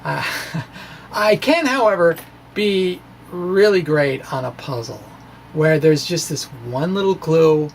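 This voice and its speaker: A middle-aged American man giving an informal, halting explanation to camera, with animated, self-deprecating asides. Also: fairly high pitch, vocal fry, slight background noise. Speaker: physics video blogger. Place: small indoor room with bookshelves. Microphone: microphone on stand in front of chest.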